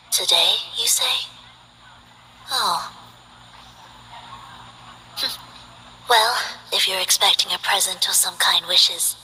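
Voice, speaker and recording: A woman playing a soft-spoken, detached and tragic guardian of the underworld in a video game, with a breathy, measured delivery. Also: medium pitch, slight background noise, rough recording. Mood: softly aloof. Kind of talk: scripted video game character acting.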